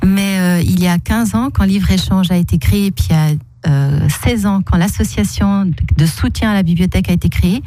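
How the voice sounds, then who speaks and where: A woman's voice: medium pitch, brisk, warm tone, dry recording. A woman of about sixty, Portuguese by origin, recalling memories thoughtfully with many hesitations as a guest in a radio studio. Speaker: library director, radio guest. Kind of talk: casual radio interview. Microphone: studio microphone.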